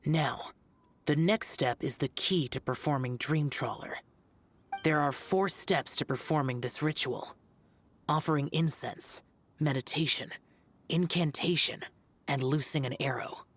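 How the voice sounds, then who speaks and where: Low-voiced, solemn master of rituals. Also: female voice, no room sound. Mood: grave, composed. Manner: formal, measured, grave. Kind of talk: video game character acting.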